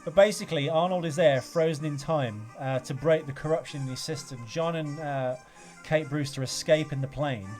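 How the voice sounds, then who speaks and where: A man with a fairly high voice co-hosts a film podcast. He is jokey and rambling, swears freely, and has music behind him. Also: moderate pace, hardly any pauses, darkish tone. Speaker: podcast co-host. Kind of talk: casual comic film chat.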